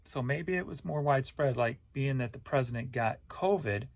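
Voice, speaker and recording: A man's voice: mid-range voice, normal speed, no echo. An American man giving a casual, rambling vlog update as an online reseller, talking informally and thinking aloud in a folksy way.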